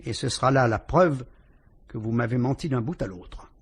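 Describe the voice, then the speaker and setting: Calm probing police inspector, dry polite irony, kinda husky, old radio play. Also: male voice, medium pitch. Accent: French. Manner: measured, probing, politely ironic. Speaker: police inspector interrogating a suspect. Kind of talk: vintage French radio drama acting.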